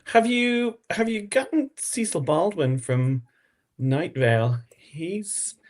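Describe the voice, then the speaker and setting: A man's voice: high-pitched, lively intonation, slow. A man chatting as a podcast guest in a hesitant, easygoing way. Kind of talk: casual chat.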